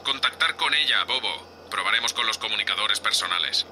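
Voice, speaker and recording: Gruff, irritable spaceship captain played by a man. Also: on the low side, expressive, fairly quick, bright, forceful tone, a little hiss or hum. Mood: resigned, businesslike. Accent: Spain Spanish. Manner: exasperated, scolding, curt. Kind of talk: Spanish sci-fi audio drama acting.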